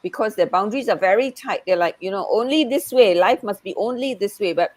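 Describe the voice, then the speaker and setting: A woman who grew up in Malaysia talks things over as a coach. Her voice sits in a middle register. She speaks in a relaxed, reflective way and often adds "you know". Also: medium pitch, brisk. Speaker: NLP coach. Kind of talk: casual conversation.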